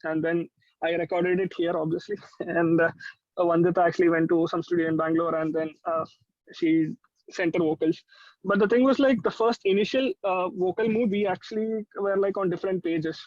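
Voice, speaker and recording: A man's voice: high-pitched, steady tone, moderate pace, a little mellow, no room sound. A young man with an Indian accent, talking casually as a musician being interviewed. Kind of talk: casual chat. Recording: dry but slightly muffled audio.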